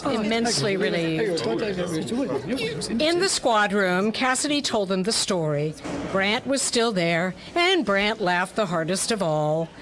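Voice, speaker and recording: Female storyteller, scripted radio drama narration. Medium pitch, measured and slow pace.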